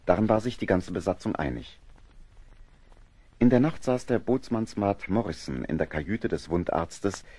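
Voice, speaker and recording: A man as the grave narrator of a seafaring audio drama, telling the story in a measured, scripted manner. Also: low voice, dark tone, no room sound, exaggerated voice.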